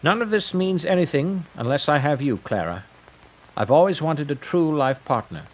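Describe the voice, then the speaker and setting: Man acting grandiose tycoon, higher-pitched voice. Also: lively intonation, normal speed, poor audio. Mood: commanding, courting. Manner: grand, declamatory sales pitch. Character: grandiose eccentric male tycoon announcing a pleasure empire. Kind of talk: dramatized monologue in audio storytelling.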